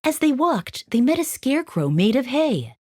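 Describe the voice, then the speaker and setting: A woman reads a rhyming children's tale as storyteller. Her delivery is expressive and sing-song with very lively pitch, recorded close and dry. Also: normal speed, no room sound.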